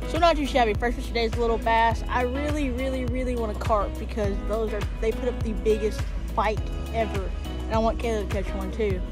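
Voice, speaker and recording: A woman's voice: on the high side, fairly even intonation, a little fast, over music. A young woman vlogging while fishing by a creek, chatting straight to her viewers through a handheld action camera. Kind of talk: casual outdoor vlog talk. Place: outdoors by a creek. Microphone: action camera held at arm's length.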